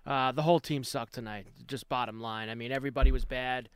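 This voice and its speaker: A man's voice: medium pitch, fairly even intonation, fast talker, smooth, dry recording. A man co-hosting a sports talk radio show, sarcastic and exasperated as he argues his points.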